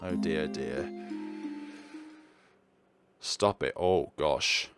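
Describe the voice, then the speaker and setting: A man reading a visual novel aloud as expressive narration, adding his own casual commentary. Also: extremely low pitch, extremely slow. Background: soft game music.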